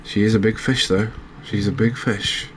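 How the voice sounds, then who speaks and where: Casting: male game streamer, deep and flat-toned, understated ASMR commentary, slight background noise. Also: moderate pace. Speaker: gaming channel host.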